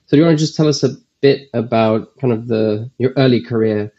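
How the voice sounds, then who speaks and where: A man's voice: medium pitch, fairly quick, warm tone. A man hosting a podcast, reading a scripted introduction and then asking questions with some hesitation. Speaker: podcast host. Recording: dull lo-fi sound.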